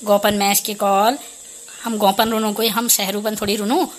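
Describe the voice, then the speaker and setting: A woman's voice: medium pitch, little pitch movement, normal speed, natural voice. A woman voicing an exasperated, scolding village mother with a Kumaoni accent in an amateur cartoon comedy. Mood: indignant.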